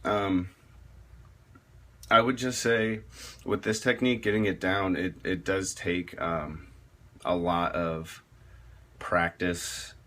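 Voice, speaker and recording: A man with a low voice gives a casual tutorial on metal vocals. His tone is bright and forward even at that depth, and he speaks slowly. He rambles, restarts phrases and leans on fillers as he explains. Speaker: metal vocalist teaching screaming technique.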